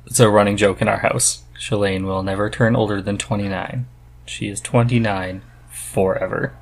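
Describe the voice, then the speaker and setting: Low, husky-voiced man chatting casually. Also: fairly even intonation, normal speed. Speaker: podcast host. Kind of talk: casual chat about books.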